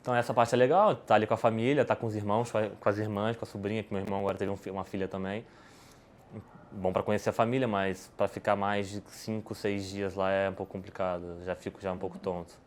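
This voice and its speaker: Fast-talking man with a lowish voice. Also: little pitch movement, a little hiss or hum. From Brazil. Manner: rapid, rambling, lots of restarts and tag questions. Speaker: podcast guest, poker player. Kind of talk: casual interview answers.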